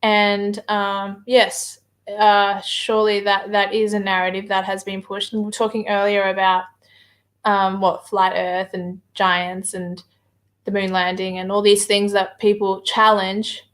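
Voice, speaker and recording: A woman's voice: medium pitch, completely flat delivery, measured pace, fairly bright tone. A woman with an Australian accent talks casually on a livestream, hesitating often with filler words as she answers viewer comments. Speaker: livestream guest reading viewer chat.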